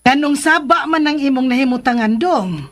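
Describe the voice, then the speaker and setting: Inquisitive mother, very high-pitched, questioning her grown son, Filipino radio drama. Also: expressive, moderate pace, faint music behind.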